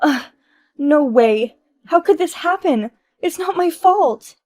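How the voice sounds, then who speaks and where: A woman voicing Emma, an entitled young wife who dodges blame, in a shrill, whiny and indignant voice. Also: animated, unhurried, a little mellow. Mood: panicked pleading.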